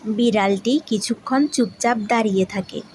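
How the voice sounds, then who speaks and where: A woman's voice: fairly high pitch, moderate pace, a little mellow, breathy edge. A woman narrating a scripted children's story.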